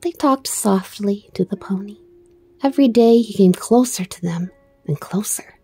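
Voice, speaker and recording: A woman storyteller giving an expressive read-aloud narration of a children's book. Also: mid-range voice, moderate pace, husky, soft background music.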